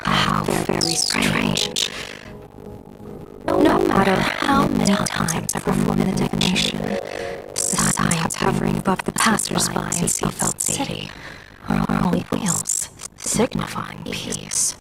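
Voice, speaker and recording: A woman voice-acting a composed, polite fortune teller in a video game, speaking formally and deliberately. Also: extremely low pitch, wildly swooping pitch, vocal fry, exaggerated voice, rough recording. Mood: puzzled, pensive. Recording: music behind the voice.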